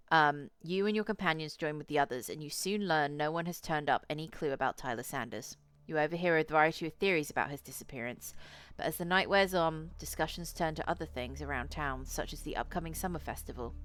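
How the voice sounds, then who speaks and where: A woman streamer with a low voice reading a visual novel aloud, dropping in casual, sweary asides. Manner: sweary, excitable reactions in asides.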